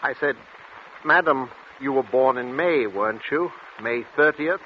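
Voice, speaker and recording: An actor playing a tormented male patient cursed to blurt out truths, anguished and halting in 1940s radio style. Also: medium pitch, close to an everyday voice. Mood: haunted, recounting. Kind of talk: 1940s radio drama acting. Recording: muffled, noisy 1940s radio recording.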